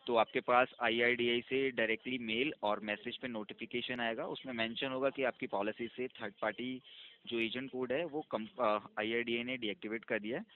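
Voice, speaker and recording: Brief: male caller on a tinny phone line, smooth and procedural, mid-pitched. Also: steady tone, normal speed. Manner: smooth, procedural, persuasive, mixing English terms. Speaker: phone caller posing as insurance agent. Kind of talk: scripted sales phone call.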